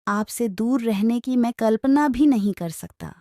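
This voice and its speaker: A woman's voice: a little high, measured pace, warm tone, dry recording, studio quality, close to an everyday voice. A woman voicing a devoted young male parrot in a children's story, earnest and respectful. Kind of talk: acted children's moral story.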